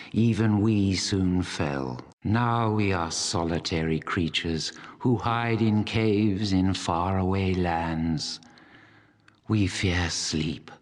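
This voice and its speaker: An actor playing an ancient, proud and embittered dragon in a fantasy video game, speaking in a solemn, deliberate, coldly menacing way. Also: male voice, low-pitched. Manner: slow, deliberate, solemn delivery.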